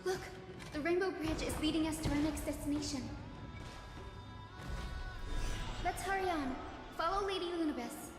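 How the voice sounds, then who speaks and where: An actress voices a young healer heroine from a video game. Her high voice is gentle, polite and sincere. It echoes in a large space over clear music. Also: brisk, close to an everyday voice. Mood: bright, eager. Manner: soft, earnest, polite. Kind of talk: English video game voice acting. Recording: echoey game hall reverb.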